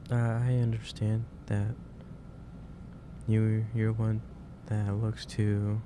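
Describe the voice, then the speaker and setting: A man playing a gentle, concerned office boss in a soft-spoken ASMR roleplay, talking in a hesitant, halting and careful way. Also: on the low side. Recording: clearly noisy ambient background.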